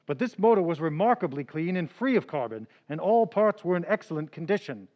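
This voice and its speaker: An actor playing a smooth male radio announcer reading a period commercial. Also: big pitch swings, a little fast, dull audio. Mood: persuasive. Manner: polished sales-pitch delivery.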